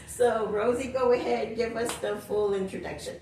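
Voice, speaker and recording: A woman's voice: on the high side, fairly even intonation, vocal fry. A woman hosting a casual interview, giggly and drawn out as she asks questions. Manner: warm, giggly, slow and drawn out. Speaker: host. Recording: roomy echo, distant mic, faint hiss.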